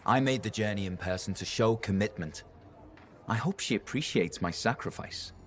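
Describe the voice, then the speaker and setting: An actor playing a haughty, entitled noble suitor in a video game, speaking with pompous disdain. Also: male voice, fairly low pitch, moderate pace, natural voice. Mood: snobbish. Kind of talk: video game dialogue acting.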